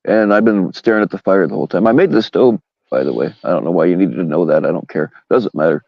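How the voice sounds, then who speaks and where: A deep-voiced man rambles crudely in casual livestream panel chat. The recording is dry and a little dull. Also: quick pace, creaky, no echo. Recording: dry, slightly dull lo-fi mic.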